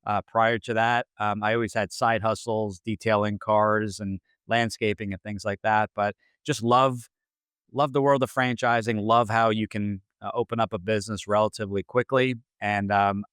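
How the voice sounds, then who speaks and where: A man in his forties from New Jersey, a franchise consultant answering interview questions with many pauses and restarts. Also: on the low side, steady tone, a bit bright, clean tone, no echo. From Central New Jersey. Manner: hesitant, many fillers and restarts.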